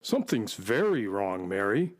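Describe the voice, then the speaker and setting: A woman's voice: extremely low pitch, sing-song, moderate pace, heavily acted. A woman playing a loyal, observant talking space horse in a children's radio drama, earnest and dramatic. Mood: earnest concern.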